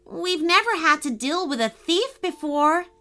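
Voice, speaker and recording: A woman's voice: very high-pitched, expressive, measured pace, pushed and bright, soft background music, pitched above the performer's own voice, exaggerated voice. A woman voicing dismayed male elf musicians with a plaintive wail in a children's story. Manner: plaintive, wailing. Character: dismayed, wailing young male elf bandmates.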